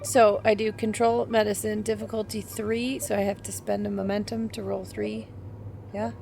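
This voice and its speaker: A woman's voice: medium pitch, level tone, normal speed, vocal fry, darkish tone, a little hiss or hum. A woman playing a tabletop game, chatting casually with the group.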